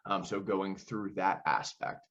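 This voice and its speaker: A man's voice: low voice, steady tone, unhurried, a bit bright, dull audio. A man giving conversational, explanatory interview answers as a theater director, often posing questions as he goes.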